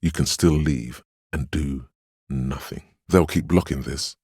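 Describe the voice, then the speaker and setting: An extremely low, grainy male inner voice of willpower from a role-playing game. It is steadfast and grave, counselling in measured, reassuring phrases. The sound is close and dry, with a husky edge. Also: a little mellow, dry recording, heavily acted. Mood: measured, earnest. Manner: measured, solemn, encouraging counsel. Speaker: video game voice actor.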